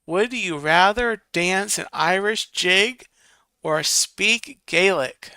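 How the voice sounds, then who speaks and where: A man's voice: high voice, slow pace, sharp tone, clear, resonant tone, dry recording. A man hosting a casual live stream, reading viewer comments and counting votes aloud while muttering to himself.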